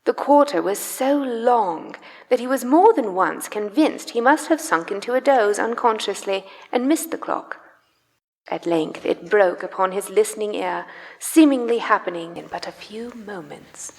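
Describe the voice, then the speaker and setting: A woman narrating a live radio play dramatically, with theatrical, emphatic and deliberate phrasing. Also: medium pitch, animated, darkish tone. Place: live stage in a church. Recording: long church hall reverb.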